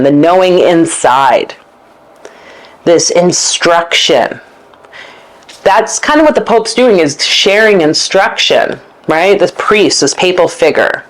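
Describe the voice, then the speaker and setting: A woman hosting a meandering spoken monologue, reading passages aloud and thinking out loud with pauses and fillers. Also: on the low side, poor audio. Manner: meandering, searching, with fillers and self-corrections; reads passages aloud from a book. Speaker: esoteric channel host.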